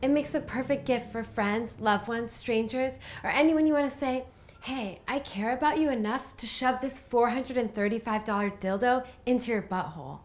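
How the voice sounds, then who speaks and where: Woman acting an earnest, high-voiced hippie craftswoman. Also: normal speed, natural voice. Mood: serene, earnest. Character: earnest, spiritual hippie craftswoman selling handmade wooden toys. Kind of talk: deadpan character comedy sales patter.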